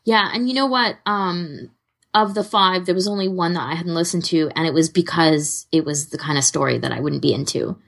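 A woman's voice: fairly low pitch, a little fast, vocal fry. Woman chatting casually as a podcast co-host. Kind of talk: casual chat.

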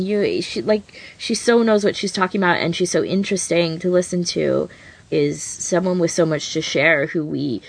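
A woman with a low-pitched voice talks casually as a podcast co-host. She rambles conversationally, looping through asides and filler words such as "like" and "you know". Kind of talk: casual chat.

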